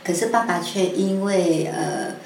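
A woman's voice: medium pitch, steady tone, unhurried, a little mellow. A woman with a Taiwanese Mandarin accent, speaking as an interview guest, explains things conversationally and hesitantly, piecing her thoughts together as she goes. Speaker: guest scriptwriter. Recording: echoey room with faint noise.

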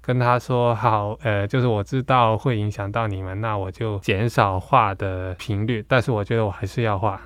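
Smooth-voiced Hong Kong man, dry sound. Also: on the low side, fairly even intonation, moderate pace, few pauses, a bit bright, no room sound. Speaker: podcast guest, comic artist. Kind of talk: casual interview conversation.

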